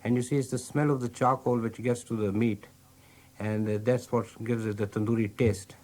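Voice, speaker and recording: A man's voice: mid-range voice, fairly even intonation, normal speed, darkish tone. A man with an Indian accent, a restaurant owner explaining things patiently, with frequent fillers. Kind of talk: explaining, casual interview.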